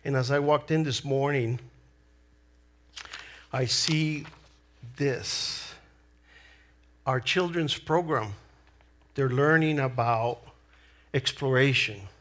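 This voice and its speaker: A middle-aged man with a Salvadoran Spanish accent preaches in a slow, unhurried cadence, Spanish being his first language. His voice sits at an ordinary middle pitch, and he talks in an earnest, deliberate way with pauses between phrases. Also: a bit bright. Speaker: lay preacher. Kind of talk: sermon.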